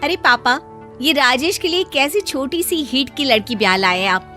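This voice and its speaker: A woman voices a snobbish sister-in-law in a cartoon family tale. She talks down to others and brushes them off in a very high, bright voice. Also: big pitch swings, normal speed, faint music behind, exaggerated voice. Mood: disdainful. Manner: condescending, dismissive. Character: snobbish, evasive married sister-in-law, doting on her brother.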